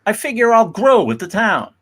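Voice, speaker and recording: A man's voice: high-pitched, big pitch swings, moderate pace, fairly bright tone, heavily acted. A man acting a genial middle-aged father and merchant in a dramatic comic reading, hearty and sociable.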